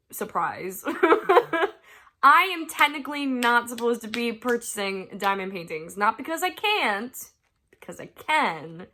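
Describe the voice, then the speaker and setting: A woman's voice: medium pitch, bright, forceful tone. A young woman from the US chatting casually to her viewers about her crafts, stuffed up from a cold and laughing often. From United States. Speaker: crafting vlogger. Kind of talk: casual chat to camera. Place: her bedroom at home.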